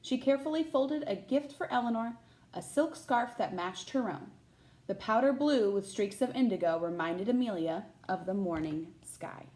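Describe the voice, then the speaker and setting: A woman reads a storybook aloud as a teacher would, with very animated pitch. She speaks to young listeners and invites them to wonder along. The room around her voice is noticeably echoey. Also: moderate pace. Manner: addresses young listeners with questions. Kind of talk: expressive read-aloud of a children's picture book with chatty asides.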